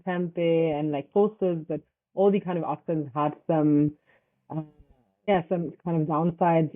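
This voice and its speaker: Young woman on a tinny, phone-like line. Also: sits low. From Switzerland. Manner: loose and halting, heavy on fillers like "kind of" and "like". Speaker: guest, startup founder. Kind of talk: casual interview answers.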